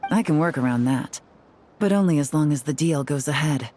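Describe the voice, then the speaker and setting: A woman playing a cool, shrewd operative in an anime game, measured, decisive and matter-of-fact. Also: low voice, warm tone, slight background noise, lightly acted. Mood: cool, firm. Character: cool, shrewd female operative, composed negotiator.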